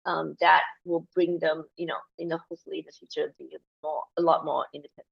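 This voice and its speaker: Animated woman from Malaysia, muffled sound. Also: deep voice, quick pace, creaky, husky. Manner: animated, many fillers and restarts. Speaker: guest. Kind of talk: casual interview conversation.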